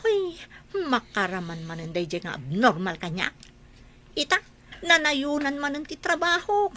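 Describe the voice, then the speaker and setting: A sharp-tongued, scornful caretaker in a radio drama, played by a woman. She has a high, bright, forceful voice and a scolding, contemptuous way of talking. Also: very animated, measured pace, exaggerated voice. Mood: irritated. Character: harsh, scornful adult female caretaker.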